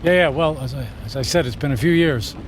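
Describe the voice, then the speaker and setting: An actor playing a wealthy, weary, miserable man in a radio drama, speaking in a halting, guarded way. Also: mid-range voice, background noise, natural voice. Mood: guarded, irritated. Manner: hesitant, halting, sighing.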